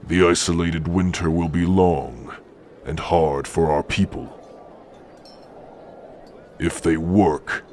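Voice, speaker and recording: An older orc chieftain with an extremely low voice. He talks slowly and imperiously, with cold, cruel amusement and disdain. It is a fantasy ASMR roleplay with faint noise in the background. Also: a little mellow.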